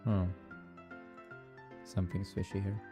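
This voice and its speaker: A young man with a Swedish accent, streaming casual game development and musing aloud with fillers and soft laughs. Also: sits low, level tone, a little slow, music in the background. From Sweden. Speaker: game developer streaming his hobby project. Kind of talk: casual live-coding stream chatter.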